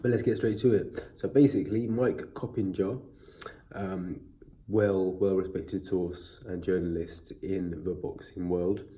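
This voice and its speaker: A man's voice: deep voice, dark tone. A man with a stuffy, sniffly cold, chatting casually and rambling through a boxing news video on a built-in mic. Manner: chatty, rambling, many fillers and restarts. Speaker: boxing vlogger. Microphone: built-in device mic, no external mic.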